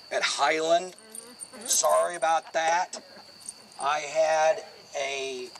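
A man's voice: fairly high pitch, creaky, noisy background. Man guiding an outdoor cemetery tour. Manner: casual, explanatory, addresses the group directly. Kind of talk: outdoor walking tour talk. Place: outdoor cemetery, in a small open space. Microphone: far camera, no mic.

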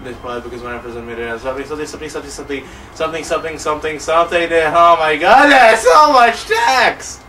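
A man's voice: on the high side, expressive, fast talker, bright, forceful tone, recorded from a distance, a little hiss or hum. A young man co-hosting a couch let's play, joking, swearing and laughing while reading game text aloud. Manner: jokey, profane, laughs often, reads lines aloud. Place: living room, on a couch.

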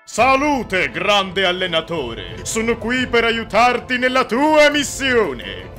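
A man's voice: extremely high pitch, wildly swooping pitch, takes it slowly, bright, forceful tone, soft background music, heavily acted. A man voicing a pompous heroic champion with grandiose, theatrical delivery in a comic cartoon dub. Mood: grandly heroic.

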